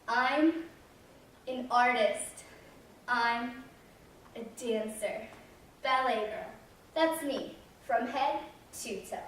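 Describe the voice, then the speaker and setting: A woman's voice: a little high, very slow, long pauses. A woman playing a proud, defensive aging circus ballet girl, speaking emphatically on stage. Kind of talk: readers theater monologue. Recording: very echoey hall, distant, faint noise.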